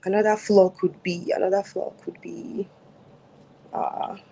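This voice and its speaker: A woman's voice: medium pitch, fairly even intonation, a little slow, gravelly, breathy edge, low-quality recording. A woman in her thirties with a Nigerian accent, answering dating questions casually on a phone at home, with lots of fillers and restarts. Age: 30s. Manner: soft-spoken, many fillers and restarts. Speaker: guest on a dating show. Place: home, mid-move.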